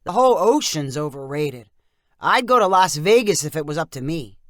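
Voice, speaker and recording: Woman putting on a blunt, dismissive uncle, low. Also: very animated, moderate pace, a bit bright, dry recording, studio quality. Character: opinionated, dismissive middle-aged uncle.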